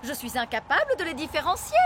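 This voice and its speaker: A woman's voice: high-pitched, very animated, quick pace, heavy background noise. A woman voicing a grumpy, exasperated fairy nanny in a French cartoon dub, sarcastic and matter-of-fact. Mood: annoyed.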